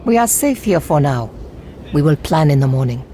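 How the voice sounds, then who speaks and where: A woman's voice: deep voice, big pitch swings, normal speed, dark tone, a little hiss or hum. A woman playing a resolute, commanding warrior leader in a video game cutscene, speaking firmly. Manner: firm, commanding. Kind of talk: scripted game cutscene dialogue.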